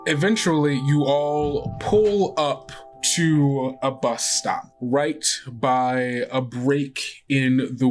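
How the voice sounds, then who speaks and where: A man narrating a tabletop role-playing game in a casual way as game master. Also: mid-range voice.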